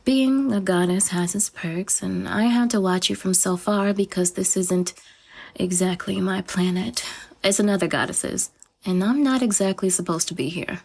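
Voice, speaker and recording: Woman doing a vain, possessive goddess, breathy. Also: mid-range voice, normal speed, darkish tone. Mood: conspiratorial. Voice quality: breathy, whispery. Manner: self-adoring, teasing, sing-song swings up. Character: vain, possessive female goddess, adult, obsessive. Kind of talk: audio roleplay monologue.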